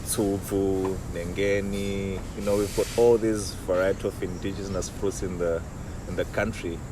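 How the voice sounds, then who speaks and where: A very low-pitched young man from Zimbabwe chats easily about his work as a winemaker. He talks in an unhurried, reflective way, often murmuring agreement. He is recorded outdoors, with a clear steady hum of background noise. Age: young adult. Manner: relaxed, reflective, frequent affirming "yeah". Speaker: winemaker guest. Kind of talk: casual interview chat. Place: outdoors under a large tree.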